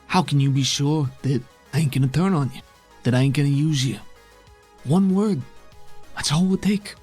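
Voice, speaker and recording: A man voicing a husky, menacing taunter. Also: on the high side, normal speed, a little mellow, faint music behind, voice pushed up. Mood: menacing, taunting. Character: menacing red-eyed trickster, sardonic and taunting.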